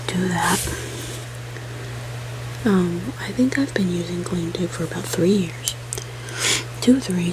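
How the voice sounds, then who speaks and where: A woman whispering soft, rambling chat as she colors for an ASMR video, sniffling now and then from allergies. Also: on the low side, background noise. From United States. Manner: soft-spoken, rambling, chatty aside. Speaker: ASMR creator.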